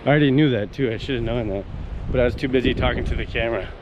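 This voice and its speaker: A man's voice: mid-range voice, very fast, lo-fi sound, slight background noise. Rambling Canadian-raised man on a walk. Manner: rambling and enthusiastic, many fillers and restarts. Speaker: vlogger. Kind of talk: casual walk-and-talk vlog. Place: outdoors on a coastal town street.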